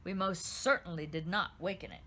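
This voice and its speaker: A woman's voice: lowish voice, moderate pace, bright, forceful tone, slight background noise. A woman voicing a formal, courteous young male guard.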